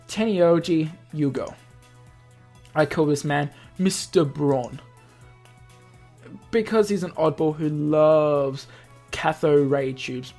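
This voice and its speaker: A man's voice: fairly high pitch, darkish tone. A man reading a visual novel aloud as a let's player, stumbling over lines and adding casual explanatory asides. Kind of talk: game narration with casual asides.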